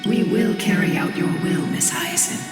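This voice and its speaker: A woman playing a calm, dignified champion in a video game, composed and solemn. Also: medium pitch, moderate pace, natural voice. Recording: cavernous echo over music.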